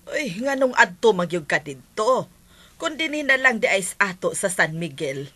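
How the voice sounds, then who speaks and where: A husky, bright-edged mother's voice with a Cebuano Filipino accent. She is caring and naggy in radio drama style, swinging widely in pitch. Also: normal speed, heavily acted. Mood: questioning. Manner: motherly, expressive. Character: caring, nagging middle-aged mother of schoolgirl.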